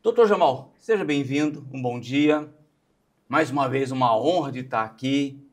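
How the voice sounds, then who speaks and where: A man's voice: medium pitch, normal speed. A Brazilian man hosting a podcast in a studio, introducing and interviewing in a conversational style.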